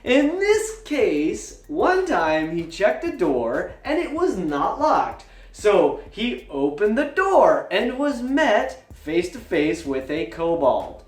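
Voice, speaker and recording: Animated, slow-paced man in an echoey room. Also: sits high. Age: 40s. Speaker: tabletop gaming vlogger. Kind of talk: casual personal storytelling to camera. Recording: roomy, echoing sound.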